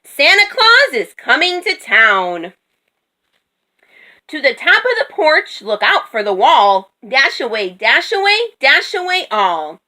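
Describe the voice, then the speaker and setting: A long-suffering storybook cow, doubtful and exasperated, acted by a woman. Her voice is bright and forceful, with wide swings in pitch. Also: big pitch swings, measured pace, hardly any pauses, heavily acted. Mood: shouting.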